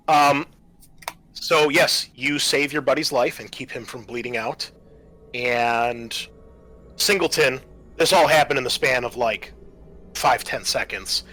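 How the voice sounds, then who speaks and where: A man's voice: mid-range voice, slow, long pauses, pushed and bright, faint music behind, rough recording. A man acting as game master, narrating and explaining the rules of a tabletop horror roleplaying game in a conversational way.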